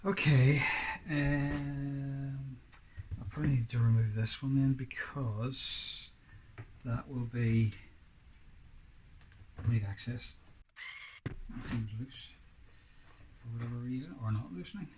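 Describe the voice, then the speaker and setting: A man talks slowly through a hands-on repair job in a mid-pitched voice. He is casual and halting, often muttering to himself between steps. Clear background noise and clicks sit behind him. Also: fairly even intonation. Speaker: DIY repair presenter. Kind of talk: casual hands-on workshop narration. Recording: clearly noisy, with clicks and tool handling.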